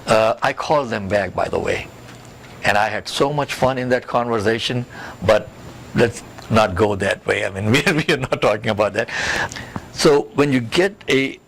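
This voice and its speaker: A man giving career advice in an interview as a coach, with an instructive, emphatic manner that repeats key points. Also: lowish voice. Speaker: career coach and job search expert. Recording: clearly noisy background.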